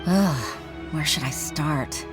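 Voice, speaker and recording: A woman's voice: low voice, slow. A woman playing an earnest, frustrated young junior official in a video game. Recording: music behind the voice.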